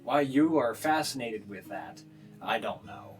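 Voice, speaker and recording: A man narrating a live tabletop roleplaying game as game master, casual and halting as he improvises. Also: fairly low pitch, big pitch swings. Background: plucked guitar music.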